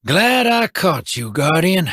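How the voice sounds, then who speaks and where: A seasoned, streetwise veteran in a sci-fi game, teasing and unhurried, his husky voice swinging up and down. The line is recorded dry and close, with no room around it. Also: a little high, very animated, sharp tone, no echo, full character voice. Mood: wry. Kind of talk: video game dialogue.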